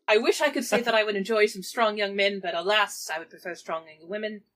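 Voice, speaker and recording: A woman's voice: mid-range voice, brisk, a bit bright. A woman playing a tabletop role-playing game, casually narrating and speaking for her character. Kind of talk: casual improvised role-play.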